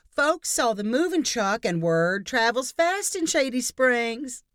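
A woman putting on a loud, gushing Southern busybody. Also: on the high side, lively intonation, slow pace, no room sound, clean studio sound, pitched above the performer's own voice. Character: pushy cheery middle-aged Southern neighbor woman.

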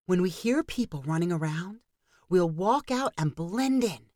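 A woman reading a story, voicing a young woman who guides a group in secret, brisk and urgent. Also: on the low side, lively intonation, darkish tone, no echo, clean studio sound. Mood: hushed.

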